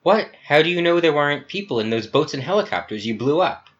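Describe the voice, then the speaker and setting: A man's voice: fairly high pitch, lively intonation, quick pace, muffled recording, pitched above the performer's own voice, natural voice. A man voicing an agitated young Survey, alarmed and demanding.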